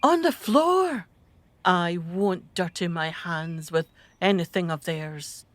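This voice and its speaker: A woman's voice: on the low side, slow pace, dry recording. A woman playing an imperious, bitter wife and mother in a radio drama, with a haughty, scornful delivery. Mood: scornful, commanding.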